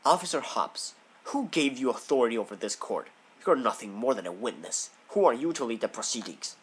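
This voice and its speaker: A man's voice: mid-range voice, animated, a little fast, slightly rough. A man playing a stern, haughty prosecutor who speaks in a pompous, formal and demanding way in a courtroom audio drama. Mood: indignant. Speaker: voice actor in a fan audio drama.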